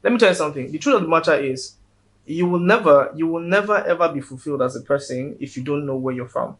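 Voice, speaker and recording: A man's voice: on the high side, lively intonation, fast, a bit bright. A man with a Nigerian accent, a guest in a casual interview, speaking earnestly and often repeating words as he builds his point.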